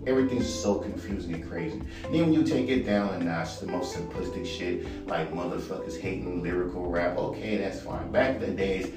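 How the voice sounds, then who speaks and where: A man with a baritone voice ranting casually and profanely as a podcast host, rambling and animated. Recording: echoey room, far from mic, music behind.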